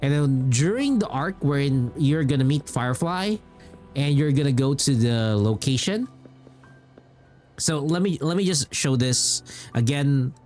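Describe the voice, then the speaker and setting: A man streams casually about games with music playing behind him. His talk rambles along in an informal way, and he often repeats a phrase to drive it home. His voice sits at an ordinary mid-range pitch for a man. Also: lively intonation, unhurried, a little mellow. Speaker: game streamer.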